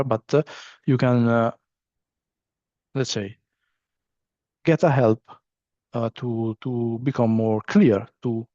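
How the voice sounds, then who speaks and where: A man's voice: mid-range voice, a little mellow, no echo, muffled recording. A man with an Italian accent, a non-native English speaker, giving a training session with many fillers and restarts. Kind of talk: online training presentation.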